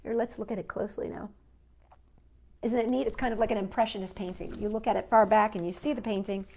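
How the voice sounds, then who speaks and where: A woman's voice: mid-range voice, steady tone, quick pace, darkish tone. A woman hosting children's storytime, reading picture books aloud with enthusiastic, chatty asides to young viewers.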